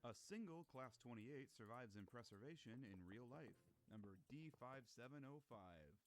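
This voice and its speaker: A man delivers scripted, documentary-style narration with a highly animated intonation at a middling pitch. He explains things emphatically and with enthusiasm. Some faint noise sits behind his voice. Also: moderate pace, rough recording.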